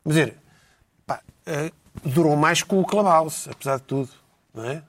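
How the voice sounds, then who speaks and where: A man's voice: fairly high pitch, normal speed. A man with a European Portuguese accent, co-hosting a casual chat and rambling with lots of fillers.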